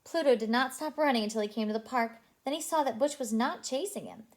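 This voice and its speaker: Female storyteller, childcare read-aloud, expressive, lively swooping intonation, fairly high pitch, animated delivery. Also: fast talker. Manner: animated, asks listeners questions.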